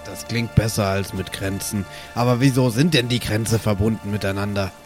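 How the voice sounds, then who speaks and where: A man's voice: lowish voice, steady tone, normal speed. A man speaking casually as a player in an improvised tabletop game. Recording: music and rain sounds behind.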